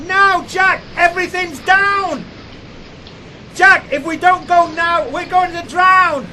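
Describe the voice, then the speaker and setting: Guy doing frantic ship radio operator, yelling, really high bright voice, radio drama. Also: takes it slowly, a little hiss or hum, full character voice. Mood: panicked. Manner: shouting, urgent. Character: frantic, loyal male ship's radio operator friend. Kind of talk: amateur radio play acting.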